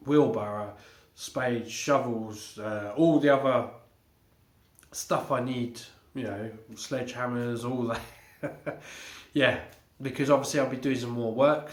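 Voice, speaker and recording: A man vlogging a casual home update, a little congested from illness, rambling with halting restarts and fillers. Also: mid-range voice. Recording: echoey room.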